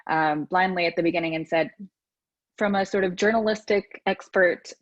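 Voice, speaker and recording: Podcast host, Texan woman, low pitch, relaxed and chatty, lo-fi remote call sound. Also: normal speed. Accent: Texas. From United States. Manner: relaxed, with frequent ums and restarts. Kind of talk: casual conversational interview. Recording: dull lo-fi audio.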